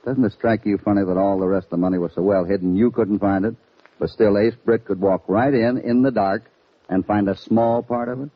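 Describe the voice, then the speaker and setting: An actor playing a calm, shrewd cowboy hero in an old Western radio drama, speaking with assured authority. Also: male voice, fairly low pitch, fairly quick, close to an everyday voice. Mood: confident, calm. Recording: muffled vintage radio recording.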